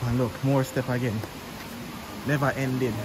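A man's voice: medium pitch, little pitch movement. A man with a Jamaican accent, chatting casually to viewers while hiking down to a waterfall and filming on a handheld camera. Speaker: vlogger. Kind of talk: casual travel vlog narration. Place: outdoors at a river waterfall. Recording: loud waterfall and outdoor noise. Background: rushing water, insects.

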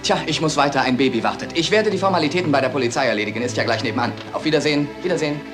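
An actor playing a brisk, flippant doctor in a German crime radio play, breezy and matter-of-fact. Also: male voice, a little high, music in the background, lightly acted. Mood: brisk, unmoved. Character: brisk, flippant male doctor, always hurrying off.

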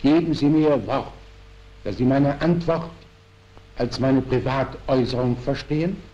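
A man reading a play as a skeptical, guarded old dignitary, halting and deliberate, in a historical recording. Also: mid-range voice, mellow, close to an everyday voice. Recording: dull historical archive recording.

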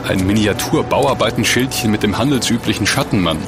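Actor as a low-voiced, inquisitive know-it-all. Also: male voice, fairly quick, over music, close to an everyday voice. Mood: curious, explaining. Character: inquisitive, know-it-all young male amateur investigator. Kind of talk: naturalistic audio-drama dialogue.

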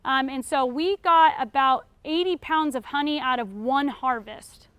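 Young American female teacher, high-pitched, informal Q&A lecture delivery, dry recording. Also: measured pace, dry recording, highs cut off. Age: young adult. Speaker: beekeeping class instructor. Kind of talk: informal lecture answering audience questions. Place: retail store aisle in front of a seated audience.

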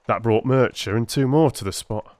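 A man's voice: medium pitch, normal speed, a little mellow, no echo, close to an everyday voice. A man as a touchy police constable. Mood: amused. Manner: colloquial, aggrieved, blunt. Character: plain-spoken, touchy male London police constable.

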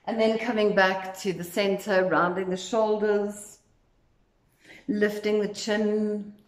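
A woman leading a guided meditation as instructor, speaking in a gentle, soothing, unhurried way. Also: mid-range voice, flat delivery. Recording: echoey, roomy sound.

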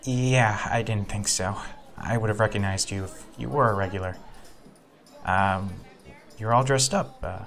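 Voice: sits low, unhurried, fairly bright tone, faint music behind. A performer voicing a friendly but awkward young man who stammers nervously.

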